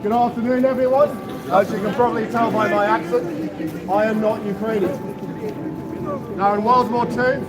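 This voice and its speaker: A man with a British accent giving a measured, rhetorical public speech as a guest speaker outdoors. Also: high-pitched. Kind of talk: public speech to a gathered crowd. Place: outdoors in an urban street. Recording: noisy outdoor street ambience.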